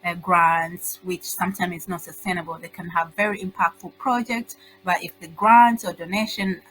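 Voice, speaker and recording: A middle-aged woman with a Tanzanian accent giving informal, halting answers as an interview guest. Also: on the low side, steady tone, a bit bright, faint music behind, poor audio. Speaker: guest, social entrepreneur.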